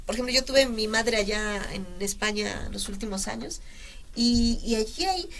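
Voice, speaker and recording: A woman's voice: fairly high pitch, moderate pace. A woman journalist from Veracruz, speaking earnestly as an interview guest and repeating words for emphasis. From Veracruz, Mexico. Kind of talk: conversational interview.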